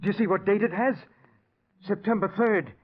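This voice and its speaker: A man's voice: high voice, moderate pace. Anxious, doomed man, muffled old radio. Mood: terrified. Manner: fearful, fervent, dramatic. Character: anxious, doomed man obsessed with cheating death. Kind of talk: 1940s radio acting. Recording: muffled vintage radio recording.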